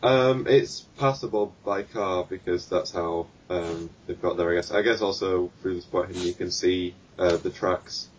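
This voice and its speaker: A man's voice: low-pitched, steady tone, moderate pace, hardly any pauses, a little hiss or hum. A man narrating a tabletop game as game master, hesitant and full of ums, on a distant dull mic. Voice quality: hesitant, filler-heavy.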